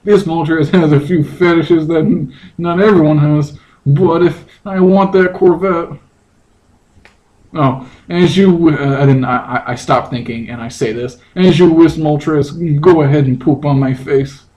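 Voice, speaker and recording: A man with a fairly high voice takes part in a comic podcast story reading. He sounds amused and playful, moving easily between his lines and casual asides. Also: a little slow, darkish tone, muffled recording. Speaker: podcast co-reader. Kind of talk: comedic table read with casual asides.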